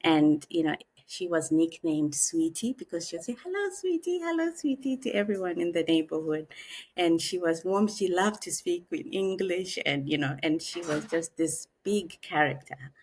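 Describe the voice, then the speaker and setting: Lady in her 40s, low voice, thinks out loud with lots of ums, podcast guest. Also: animated, husky. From Zimbabwe. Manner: reflective, unhurried, pauses with frequent "um". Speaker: guest, author and executive coach. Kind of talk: podcast interview, personal storytelling.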